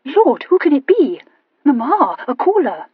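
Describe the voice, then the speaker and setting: A woman voicing an excitable, scolding young woman who exclaims and reproaches. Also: high voice, moderate pace, no echo, phone-quality sound, pitched above the performer's own voice. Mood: indignant.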